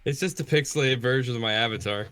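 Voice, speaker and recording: Bright-voiced man chatting casually. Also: mid-range voice, fast, smooth. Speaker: guest on a development livestream, artist. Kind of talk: casual chat. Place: online voice call.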